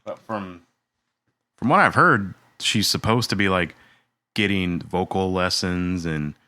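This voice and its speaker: A man with a somewhat low but bright voice co-hosts a relaxed music podcast. He jokes and laughs easily. The recording is dry and close. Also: unhurried, clear, resonant tone, no room sound. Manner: easygoing, joking, laughs a lot. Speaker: podcast co-host. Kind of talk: casual chat.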